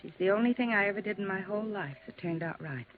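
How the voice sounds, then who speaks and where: A woman's voice: medium pitch, lively intonation, quick pace, phone-quality sound, lightly acted. An actress playing a weary, guarded young mother and reluctant witness in a 1950s radio drama, speaking earnestly. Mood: guarded, resistant. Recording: thin, noisy 1950s radio recording.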